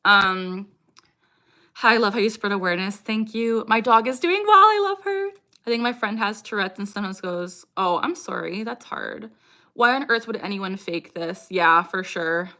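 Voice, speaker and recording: Young female streamer, bright crisp-edged voice at middle pitch, informal and frank Q&A chat punctuated by vocal tics. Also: animated, normal speed. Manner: sudden repeated vocal tics interjected into talk. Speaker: livestream host, content creator. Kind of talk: casual livestream Q&A chat, answering viewer comments.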